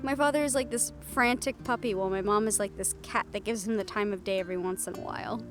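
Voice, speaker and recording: A woman's voice: medium pitch, fast talker, over music, natural voice. A woman playing a young, wry and affectionate siren-banshee hybrid in an intimate roleplay audio drama, talking in a teasing, conversational way. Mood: fond, playful. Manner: soft-hearted, teasing, conversational storytelling.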